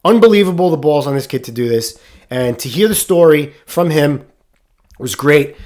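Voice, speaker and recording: A man's voice: on the high side, lively intonation, normal speed, a little mellow. A middle-aged man hosting a casual solo podcast, stuffed up and nasal from a sinus infection, rambling candidly. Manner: rambling, candid, occasional swearing. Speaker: podcast host, firefighter.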